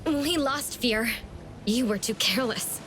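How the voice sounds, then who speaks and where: An actress playing a wry, world-weary female hunter in a game, composed and deliberate in her speech. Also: a little high, lively intonation, a bit bright, slight background noise. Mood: resigned. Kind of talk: game character dialogue.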